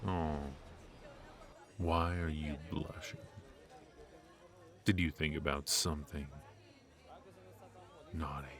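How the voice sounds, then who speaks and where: A man's voice: a bass voice, steady tone, slow pace, long pauses, fairly bright tone, full character voice. A man playing a cocky, ruthless and protective mafia boss in a noir ASMR roleplay, with an intimate, teasing delivery. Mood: flirty, sly. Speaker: voice actor.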